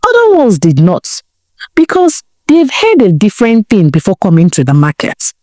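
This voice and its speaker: Expressive woman storyteller. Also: medium pitch, moderate pace, dry recording, studio quality. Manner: dramatic, expressive, asks the listener questions. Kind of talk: folktale storytelling narration.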